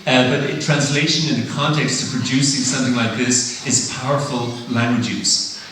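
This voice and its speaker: A man lectures to a conference audience, working through his points with frequent pauses and fillers. His voice sits at an ordinary pitch. It rings around a big echoey hall and is picked up from a distance, with a faint hiss underneath. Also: steady tone, a bit bright. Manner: thoughtful, many uhs and ums. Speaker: plenary speaker. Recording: echoey hall, mic heard from afar.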